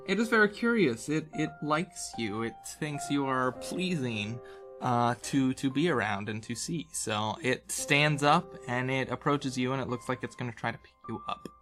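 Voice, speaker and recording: A man's voice: medium pitch, expressive, moderate pace, a bit bright. A man casually narrating an improvised tabletop game as game master, playful and conversational. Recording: music bed behind the voice.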